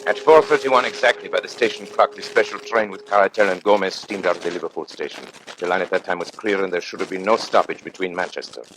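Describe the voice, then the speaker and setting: An actor narrating a radio mystery as a grave storyteller, formal and measured. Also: male voice, low voice, noisy background, natural voice. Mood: grave, suspenseful.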